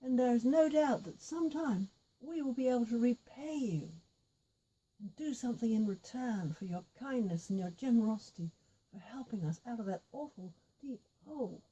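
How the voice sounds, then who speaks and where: A woman's voice: fairly high pitch, expressive, moderate pace, dark tone, slightly rough, low-quality recording. A woman voicing grateful rescued folk-tale creatures, effusive and formal in manner. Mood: effusive gratitude. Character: grateful rescued animals and man, effusive, solemn.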